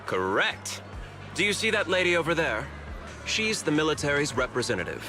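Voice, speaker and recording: A man playing a shrewd, candid corporate manager in a video game, speaking in a polished, measured, explanatory way. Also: a little high, big pitch swings, music in the background. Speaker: corporate executive and security head. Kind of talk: scripted video game dialogue.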